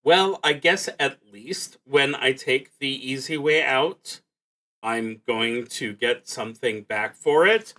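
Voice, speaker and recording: A man with a mid-pitched voice streams a solo roleplaying game. He speaks slowly, thinking through his choices aloud. His delivery is halting and musing, often trailing off before he picks the thought back up. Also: pushed and bright, low-quality recording. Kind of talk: unhurried thinking aloud while playing a solo tabletop game.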